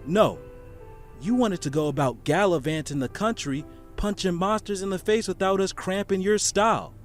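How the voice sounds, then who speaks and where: A bitter friend with a high voice played by a man in an audio drama, sarcastic and confrontational over a sense of betrayal. Light music sits behind. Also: moderate pace, lightly acted. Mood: bitter, accusing. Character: bitter, accusing friend who feels betrayed.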